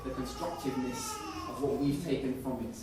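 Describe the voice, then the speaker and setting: A man's voice: mid-range voice, fairly even intonation, a little fast. A man facilitating a community discussion in an emphatic way, repeating phrases to drive his point home. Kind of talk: group discussion, reflective reasoning. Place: indoors at a community centre, distant mic. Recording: echoey room from far off, noisy.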